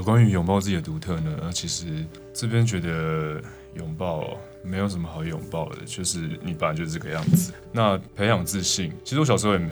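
A man answers casually as an interview guest. His manner is blunt and matter-of-fact, and music is clearly audible behind him. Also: low voice.

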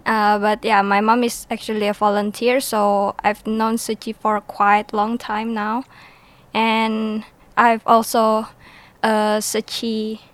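A woman's voice: a little high, monotone, slow, no room sound. A young woman with an Indonesian accent, a student answering questions as a studio guest. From Jakarta, Indonesia. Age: young adult.

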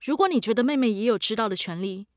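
A woman's voice: a little high, expressive, fast talker, no room sound, close to an everyday voice. A devoted woman played by an actress in a radio drama. She speaks in an earnest, pleading, anxious way, recorded close and very dry. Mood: earnest, firm. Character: devoted younger sister and aunt, gentle and anxious.

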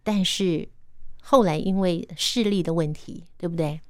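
A woman's voice: lowish voice, a little slow, clean studio sound. A woman radio host with a Taiwanese Mandarin accent, interviewing attentively and echoing answers back.

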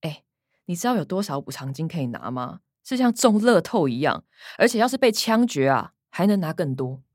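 An actress playing a bossy, money-minded older sister with a Taiwanese accent, sardonic and pushy, in a radio drama. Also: female voice, deep voice, sing-song, brisk, darkish tone, dry recording, studio quality. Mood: matter-of-fact.

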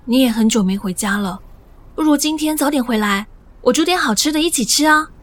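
High-voiced, sweetly hesitant young woman. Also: animated, a little fast. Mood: pleading. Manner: hesitant, coaxing. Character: soft-hearted young woman, evasive younger sister type, sweetly pleading. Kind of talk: modern romance radio drama acting.

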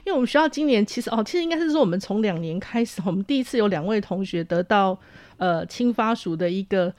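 A woman's voice: medium pitch, lively intonation, fast talker, darkish tone, no room sound. A woman with a Taiwanese Mandarin accent explaining things off the cuff in an interview, hesitant and wordy, as university staff.

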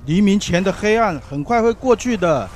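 A man's voice: high voice, lively intonation, moderate pace, a little mellow, soft background music. An actor playing an awed, fervent male fisherman disciple in a biblical radio drama, speaking in earnest exclamations. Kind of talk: Chinese-language biblical radio drama acting.

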